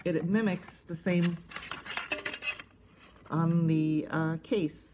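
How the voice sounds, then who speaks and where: Low-voiced woman, casual video host, halting conversational delivery, thinking aloud, faint background noise. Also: steady tone. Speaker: host of a cassette collecting video channel. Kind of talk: casual unscripted hands-on review commentary.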